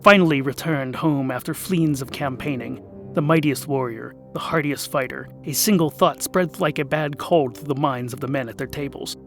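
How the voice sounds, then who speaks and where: A man narrating a comic fantasy tale as the show's host, theatrical, dropping to hushed whispers and rising to sudden bursts. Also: mid-range voice, normal speed, soft background music. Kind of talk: scripted audiobook narration.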